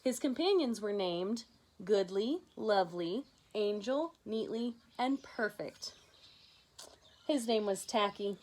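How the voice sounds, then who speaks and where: A woman's voice: mid-range voice, takes it slowly. A woman teacher reading a picture book aloud to children, narrating expressively with conversational asides. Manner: animated narration with conversational asides to young listeners.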